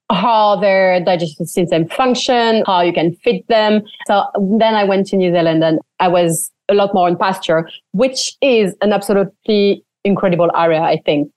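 Young French woman, animated interview guest, dry close sound, filler-heavy talk. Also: on the low side, dry recording. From France. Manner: animated, frequent "like" fillers, laughs often. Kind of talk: casual interview chat.

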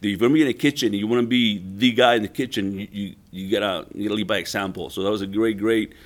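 A middle-aged man from Puerto Rico, a chef and entrepreneur, telling his story in a relaxed, rambling way full of "uh" and "you know". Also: fairly low pitch, fast. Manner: rambling, many "uh" and "you know" fillers and repeated words.

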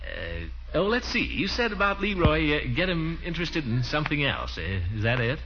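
Blustering, swooping radio uncle. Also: male voice, fairly high pitch, very animated, moderate pace, bright, forceful tone, heavily acted. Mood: bashful. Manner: blustering, hesitant, chuckling. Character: blustering, flirtatious middle-aged uncle, pompous and easily flustered. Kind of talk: 1940s radio comedy acting. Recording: muffled, noisy 1940s radio recording.

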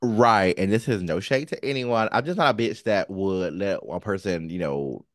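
Very low-voiced man chatting candidly. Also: lively intonation, normal speed, no echo, clean studio sound. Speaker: podcast co-host; counselor. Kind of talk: casual chat, candid and personal.

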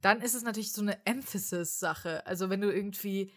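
A woman's voice: medium pitch, a little fast, fairly bright tone. A young woman hosting a casual interview, talking animatedly and mixing English words and the odd swear into her speech. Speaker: host. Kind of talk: casual chat.

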